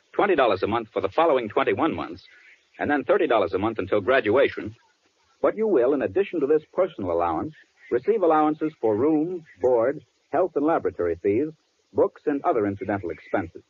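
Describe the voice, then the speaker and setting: A man's voice: medium pitch, husky. A man announcing an earnest, emphatic, formal wartime appeal in 1940s radio style. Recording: thin, phone-like 1940s radio broadcast.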